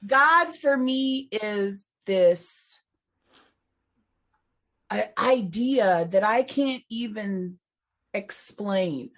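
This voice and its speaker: A woman's voice: mid-range voice, expressive, drawn-out words, long pauses, fairly bright tone. A woman co-hosting a recovery podcast, talking reflectively with many restarts and laughing between thoughts. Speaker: podcast co-host. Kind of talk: casual chat on recovery and spirituality.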